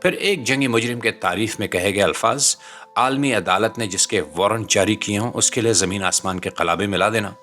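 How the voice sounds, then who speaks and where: Deep, forceful Pakistani male commentator. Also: fairly quick, soft background music. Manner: indignant, dramatic rhetorical delivery with formal literary vocabulary. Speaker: commentator, channel presenter. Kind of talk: scripted political commentary voice-over.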